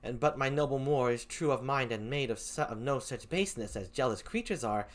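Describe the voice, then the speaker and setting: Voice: medium pitch, a little fast, close to an everyday voice. A reader voicing Desdemona as an earnest, pleading young noblewoman in a play read aloud.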